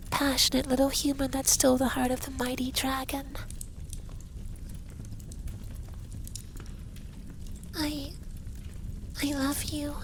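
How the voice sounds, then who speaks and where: A man playing a possessive, stern but caring effeminate dragon lover in an intimate ASMR roleplay, soft and breathy with whispers. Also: very high voice, a little hiss or hum. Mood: tender. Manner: commanding, teasing, tender.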